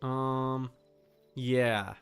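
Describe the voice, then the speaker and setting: A young adult man chatting casually as he streams a game, rambling and halting with repeated words. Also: mid-range voice, fairly even intonation, smooth, soft background music. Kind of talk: casual livestream chat while gaming.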